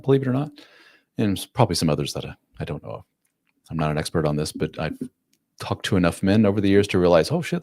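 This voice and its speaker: A man nearing fifty talks through viewer questions as a relationship coach, loose and conversational. His voice sits very low, and the sound is close and dry, with almost no room around it. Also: a little mellow, no room sound. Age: 40s. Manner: casual, plain-spoken, acts out quotes in a raised voice. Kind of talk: live-stream Q&A.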